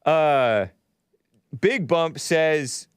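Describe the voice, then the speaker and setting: A man's voice: on the high side, animated, smooth, dry recording, clean studio sound. A man hosting a call-in show, talking casually with callers and laughing easily. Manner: laid-back, laughs often, quick sign-offs.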